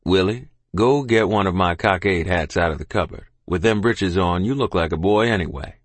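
A man voicing a stubborn, calm rural father in an audiobook, firm and commanding. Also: low-pitched, normal speed, dry recording, voice pushed down. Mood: calm, unyielding. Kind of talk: audiobook character dialogue.

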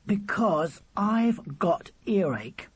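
A man's voice: medium pitch, lively intonation, normal speed, a little mellow, husky, no room sound. A man voicing a schoolboy older brother in a storybook, kindly, hesitant and a little lofty. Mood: pained, pleading.

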